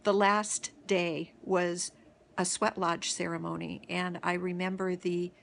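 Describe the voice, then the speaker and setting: A middle-aged woman telling a reflective personal story as host of a daily video series, halting often, with pauses and restarts. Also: on the low side, flat delivery, gravelly, slight background noise.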